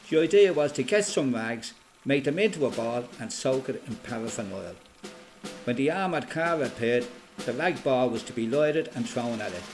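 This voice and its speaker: A man with an Irish accent playing an elderly former freedom fighter reminiscing in a radio play, plain-spoken and quietly proud. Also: mid-range voice, lightly acted. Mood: reminiscing, animated. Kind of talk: radio play monologue, reminiscing as recorded testimony.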